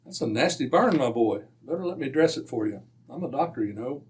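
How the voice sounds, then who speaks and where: A man playing a hearty, genteel gentleman doctor, a detective's companion, in an old-time radio drama. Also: mid-range voice, animated, fairly quick, recorded from a distance, natural voice.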